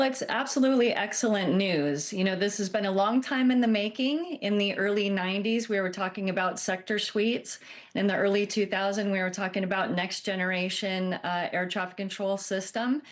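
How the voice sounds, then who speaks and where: A woman with a mid-pitched voice answers as an expert guest on a news program. She is an airline captain who explains things in a measured, earnest way. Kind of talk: broadcast news interview answers.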